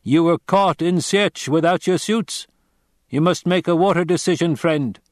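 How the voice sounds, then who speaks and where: A man's voice: on the high side, no echo, studio quality, voice pushed up, close to an everyday voice. A man voicing a blunt desert tribesman. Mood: stern, blunt. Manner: slow, measured, direct questioning. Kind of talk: audiobook character voice.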